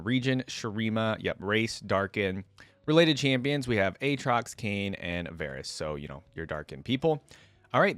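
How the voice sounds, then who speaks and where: A man's voice: fairly low pitch, no room sound. A man hosting reaction videos, moving between casual commentary and reading passages aloud. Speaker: YouTube reaction host.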